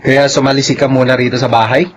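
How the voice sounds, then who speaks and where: A Filipino actor playing a jealous, menacing lawyer husband and younger brother in a radio melodrama, accusing and taunting. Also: male voice, medium pitch, level tone, fast talker, a little hiss or hum, lightly acted.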